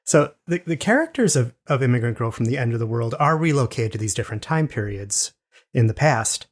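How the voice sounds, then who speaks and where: A man hosting a casual podcast interview, speaking enthusiastically in a halting way and often repeating his words as he goes. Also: mid-range voice, animated.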